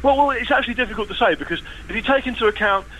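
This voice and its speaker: A man's voice: very high-pitched, lively intonation, rapid-fire, pushed and bright, poor audio. A man chatting about football as a podcast guest, wry and self-deprecating, with frequent restarts. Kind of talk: casual podcast chat.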